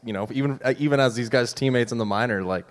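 A man's voice: mid-range voice, quick pace. A young man on a panel speaking in an easygoing, smiling way. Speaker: baseball player panelist.

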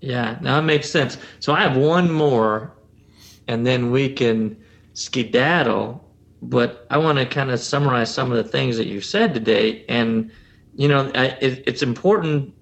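A man who works as a coach, guest on a podcast, asking long, thoughtful questions at an unhurried pace. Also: medium pitch, creaky, a bit bright. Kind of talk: casual podcast conversation.

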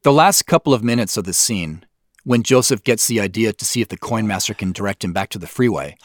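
A man's voice: lowish voice, expressive, a little fast, no room sound, studio quality. A man giving scripted behind-the-scenes commentary as the creator of an audio drama.

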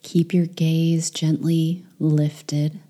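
A woman leading a guided meditation, speaking softly and soothingly, with some phrases whispered. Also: sits low, flat delivery, extremely slow.

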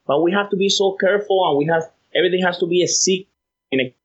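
Man, Cuban accent, Spanish native speaker, upbeat and laughing, slightly high voice, muffled lo-fi sound. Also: fast talker. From Cuba. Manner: cheerful, laughs easily, rambling. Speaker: podcast guest. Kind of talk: casual phone interview.

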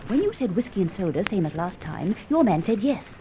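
An actress playing a poised, playful and sharp young lady accomplice in an old radio adventure serial. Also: medium pitch, sing-song, fairly quick, close to an everyday voice. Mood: conspiratorial, clever. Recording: muffled, noisy vintage radio recording.

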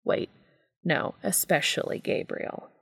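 A woman reading a story aloud and voicing a reserved young man who speaks quietly and dryly. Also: slow pace, close to an everyday voice. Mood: dry, wry. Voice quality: dry and quiet.

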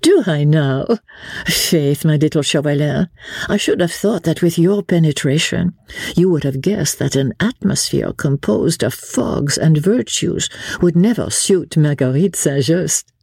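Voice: medium pitch, expressive, unhurried, gravelly, darkish tone, husky, no room sound, studio quality, pitched above the performer's own voice, heavily acted. A narrator voicing a witty, playful young French aristocratic woman, bored and teasing, in an audiobook. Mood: arch, teasing. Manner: playful, sighing, teasing, with light laughs.

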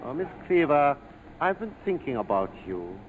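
A smooth police lieutenant of an old radio play, his fairly high voice swooping with courtly politeness. He probes and insinuates in formal phrases. The broadcast has a light layer of noise. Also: big pitch swings, moderate pace. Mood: smooth, probing. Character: suave, probing police lieutenant in colonial Saigon. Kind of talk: 1940s radio acting. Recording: noisy 1940s radio broadcast.

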